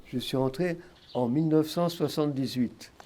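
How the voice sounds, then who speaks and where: A man in his sixties, a monk, calmly telling his story in a documentary interview. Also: fairly high pitch, moderate pace.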